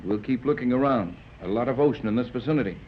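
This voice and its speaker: Male actor as army captain, steady, easygoing, reassuring, 1930s radio drama, dull and faintly noisy. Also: mid-range voice, fast talker, close to an everyday voice. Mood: calm, cautious. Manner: matter-of-fact, reassuring. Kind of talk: 1930s radio serial acting.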